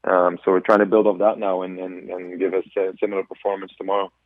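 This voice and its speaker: A man's voice: deep voice, little pitch movement, quick pace, no echo. A man in his twenties, a soccer player guest answering radio interview questions thoughtfully, with many ums and restarts. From Belgium. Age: 20s. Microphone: phone call. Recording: tinny phone line.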